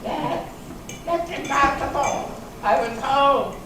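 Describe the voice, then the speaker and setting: A woman's voice: sits high, slow. A woman playing a defensive, flustered robbery suspect in an amateur readers theater reading. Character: defensive, flustered woman suspect, night-shift respiratory therapist. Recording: very echoey hall, distant mic, noisy.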